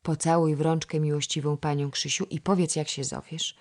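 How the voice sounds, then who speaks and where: A woman voicing a shy, humble young village girl who speaks softly and modestly. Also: low voice, flat delivery, a little fast. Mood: gentle. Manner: soft, modest.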